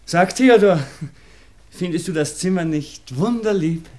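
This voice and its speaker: An actor playing a tender, evasive, melancholy young gentleman in a 1960s radio play, with a Viennese accent and a husky, breathy voice. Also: high-pitched, a little slow. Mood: distracted. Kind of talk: 1960s German-language radio play acting.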